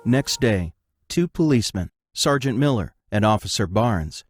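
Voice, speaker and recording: Low-voiced male narrator over loud music. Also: expressive, moderate pace. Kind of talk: scripted narration of scene headings.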